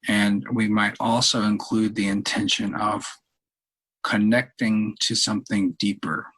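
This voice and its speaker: Slow, husky, low-voiced man guiding meditation. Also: rough recording. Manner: calm, unhurried, halting with long pauses. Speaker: meditation leader, podcast host. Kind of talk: guided meditation, softly spoken instruction.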